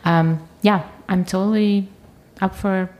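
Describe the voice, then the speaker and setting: A woman's voice: mid-range voice, slow pace. A young adult woman from Ukraine, a guest in an interview, speaking casually with many fillers and restarts. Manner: relaxed, lots of fillers and restarts.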